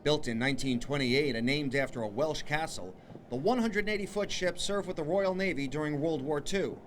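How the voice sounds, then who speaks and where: A man's voice: a little high, moderate pace, a little hiss or hum. A man reporting broadcast news as a television reporter, with an emphatic, punchy delivery. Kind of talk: broadcast news reporting, live stand-ups and scripted packages.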